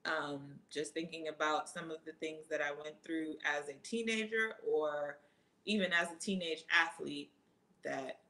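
A woman's voice: deep voice, a little slow, low-quality recording. A woman in her thirties, a track athlete, casually answering fan questions with many ums and easy laughs. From Trinidad and Jamaica family roots, living in the US. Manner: relaxed, many ums, reads questions aloud then answers. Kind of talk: casual live Q&A.

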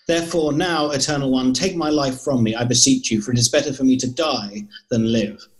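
A man's voice: mid-range voice, brisk, lightly acted. A man in his thirties playing an angry, despairing prophet in a dramatised scripture reading. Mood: despairing, reproachful. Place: indoor room, video call.

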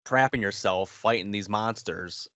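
A man in his twenties co-hosting a podcast, chatting casually in halting phrases. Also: lowish voice, a bit bright, dry recording. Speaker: podcast co-host. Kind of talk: casual chat. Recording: dull, lo-fi voice call sound.

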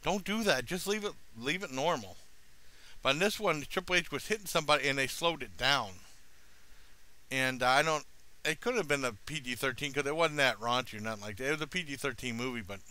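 This is a middle-aged man with an American accent and a bright, clean voice at an average pitch. He talks casually into a headset, meandering through a homemade review in plain, easygoing words. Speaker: product reviewer, vlogger. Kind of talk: casual rambling review to camera. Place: small room at home.

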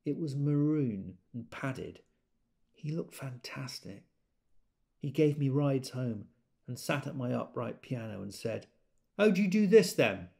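An actor playing a wistful, tender man quietly remembering his boyhood in an audio drama monologue. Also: medium pitch, very animated, measured pace, gravelly. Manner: quiet, reflective.

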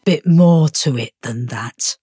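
A woman's voice: low voice, takes it slowly, warm tone, breathy edge, no echo, studio quality, exaggerated voice. An actress playing a hard, defiant mother in an audiobook drama, scornful and firm. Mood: bitter, defiant.

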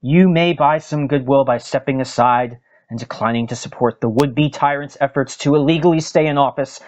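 A man hosting a podcast, giving a dramatic, solemn reading of an opinion article with emphatic, deliberate pauses. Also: mid-range voice, a little mellow, highs cut off. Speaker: podcast host.

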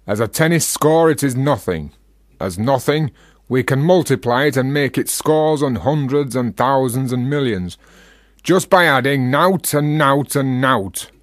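An actor playing a talkative, teasing Yorkshire freethinker in a radio play, with a rhetorical, provocative manner. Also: male voice, mid-range voice, lively intonation, unhurried, dry recording, clean studio sound, natural voice.

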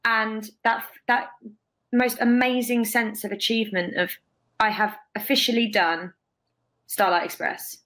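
A young woman, a guest telling emphatic, expressive stories over a video call from a bright room. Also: on the high side, unhurried. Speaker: guest, stage performer. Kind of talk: casual interview.

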